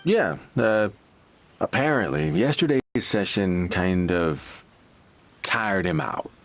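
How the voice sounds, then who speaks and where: A man voicing a sluggish, evasive man in denial in a video game, husky and hesitant, with a drawl. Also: sits low, very animated, slow pace, no echo, exaggerated voice. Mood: sheepish. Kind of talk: video game character acting.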